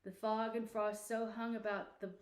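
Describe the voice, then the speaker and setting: A woman reading a classic story aloud as narrator, with expressive, theatrical, deliberate phrasing. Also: a little high, echoey room. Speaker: audiobook narrator.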